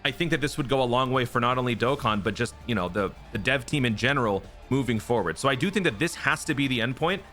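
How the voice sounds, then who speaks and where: Bright-voiced man explaining game theories. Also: medium pitch, expressive, fast, hardly any pauses, soft background music. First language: not Japanese. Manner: chatty, explanatory, frequent "right?" tags. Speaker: YouTube gaming channel host. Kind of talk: casual commentary and speculation.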